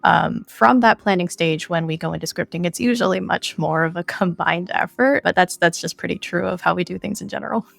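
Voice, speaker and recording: A woman's voice: lowish voice, a little fast, creaky, few pauses, a bit bright. A woman giving explanatory answers as an interview guest. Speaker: interview guest, game editor.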